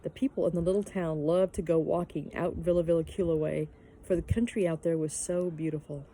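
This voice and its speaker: A woman's voice: lowish voice, fairly even intonation, fairly quick, mellow. A woman narrating a children's book aloud as a teacher would for young listeners. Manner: explains words to young listeners. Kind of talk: children's storybook read-aloud.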